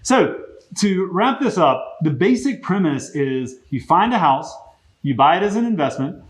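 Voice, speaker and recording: A man hosting a casual business interview, explaining things conversationally with a gently teasing manner. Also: sits high, big pitch swings, a little slow. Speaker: host.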